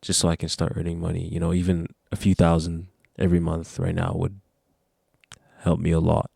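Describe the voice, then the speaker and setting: A man's voice: deep voice, completely flat delivery, a little fast, gravelly, mellow, slightly rough, no echo, clean studio sound. A nineteen-year-old man talking casually to camera, giving earnest, rambling motivational advice. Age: young adult. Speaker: vlogger.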